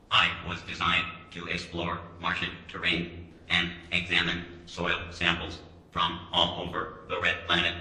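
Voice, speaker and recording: Deep, monotone, literal-minded robot. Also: male voice, full character voice. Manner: measured, formal, flatly literal. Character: earnest early semi-autonomous robot, literal-minded and politely proud. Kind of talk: radio drama acting. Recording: roomy echo.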